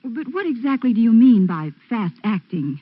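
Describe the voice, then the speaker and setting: A curious woman customer questions the salesman in old radio commercial style. Her delivery is very animated with a slightly rough tone, on a dry, dull vintage recording. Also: a little high, normal speed, dry recording. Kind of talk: scripted 1940s-50s radio advertisement acting.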